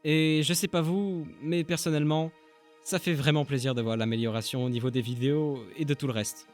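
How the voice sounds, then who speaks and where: A man's voice: fairly high pitch, steady tone, fairly quick, soft background music. A man narrating a casual vlog as an amateur dubber, informal and self-deprecating. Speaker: amateur voice dubber and channel creator.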